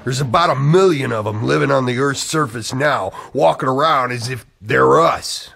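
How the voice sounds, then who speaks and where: An actor playing an agitated, earnest man who believes in a dark conspiracy, in a radio-style drama. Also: medium pitch, normal speed, gravelly, exaggerated voice. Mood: grave, insistent. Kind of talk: scripted radio-play acting.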